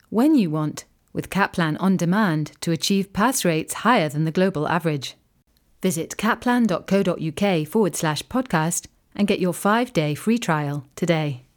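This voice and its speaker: A woman works as an announcer, reading from a script. Her pitch is a little low, and the delivery is that of a podcast intro and sponsor spot.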